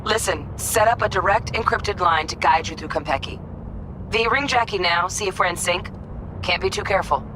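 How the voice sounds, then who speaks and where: An actress voicing a brisk, controlling heist handler in a video game, clipped, dry and matter-of-fact. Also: female voice, fairly low pitch, noisy background, natural voice. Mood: businesslike.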